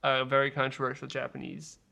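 A man's voice: mid-range voice, completely flat delivery, fairly quick, gravelly, pushed and bright. A man hosting a podcast, chatting casually about movie news in a wry, sarcastic manner. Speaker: podcast host.

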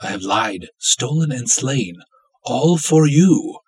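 A man voicing an obsessive, lovestruck villainous cultist in a dramatic story reading, florid, theatrical and menacing, with a husky voice. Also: medium pitch, animated, slow, a little mellow, no echo, pitched above the performer's own voice, heavily acted. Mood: impassioned, pleading.

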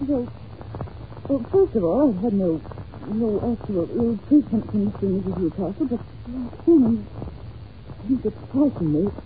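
A woman's voice: mid-range voice, expressive, moderate pace, close to an everyday voice. A woman playing an anxious, polite young governess in an old-time radio drama, speaking earnestly and hesitantly on a muffled, hissy recording. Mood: earnest unease. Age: young adult. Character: anxious, well-mannered young governess confiding in a detective.

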